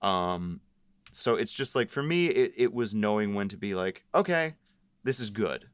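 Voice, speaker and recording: A man on a creative production team talking casually and haltingly, often voicing his own thoughts as quotes. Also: fairly low pitch, expressive, clear, resonant tone, dry recording, clean studio sound. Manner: halting, self-quoting. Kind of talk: casual behind-the-scenes discussion.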